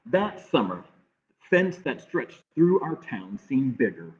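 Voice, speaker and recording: A man reading a picture book aloud to children with measured, expressive pauses. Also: medium pitch. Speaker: library storytime reader. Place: livestream from a closed-library online program. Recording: muffled phone-like streaming audio.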